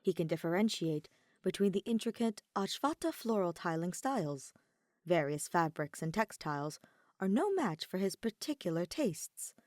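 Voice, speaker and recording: Expressive woman narrator, lower voice, lively pitch. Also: normal speed, no echo, studio quality. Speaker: podfic narrator reading fan fiction.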